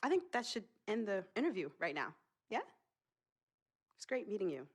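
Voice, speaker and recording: A combative American gatekeeper sister in a video game. Her voice sits at an ordinary female pitch. She sounds protective, guarded and sarcastic. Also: big pitch swings, moderate pace, slightly rough. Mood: guarded, wry. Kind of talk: video game cutscene acting.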